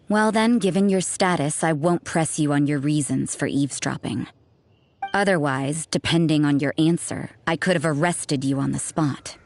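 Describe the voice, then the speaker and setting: Low-voiced, sardonic church sister. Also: female voice, darkish tone. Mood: stern, suspicious. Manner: dry, cynical, unhurried and interrogating. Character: cool, sardonic, suspicious adult church sister with an edge. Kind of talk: video game voice acting.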